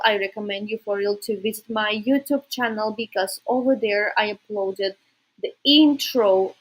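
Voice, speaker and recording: A woman's voice: medium pitch, breathy edge, poor audio. A woman from Russia with a Russian accent, chatting informally and directly to viewers as a livestream host. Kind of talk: casual livestream chat.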